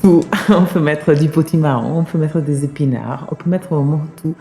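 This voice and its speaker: A man's voice: on the high side, moderate pace, vocal fry, over music. A man from Sicily with an Italian accent, a native Italian speaker, answering interview questions in a hesitant way with many fillers and restarts. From Sicily, Italy. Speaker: pizzaiolo guest.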